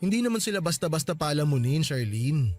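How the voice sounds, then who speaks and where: A man playing a sheepish, soft-hearted husband in a Tagalog radio drama, hesitant and defensive. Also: fairly high pitch, very animated, fairly quick. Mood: defensive, pleading. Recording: music bed under the voice.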